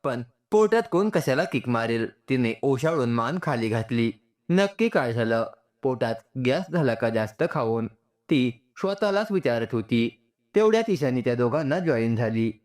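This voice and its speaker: A man's voice: medium pitch, very animated, moderate pace, a little mellow. A man reading a scripted, sentimental story as its narrator.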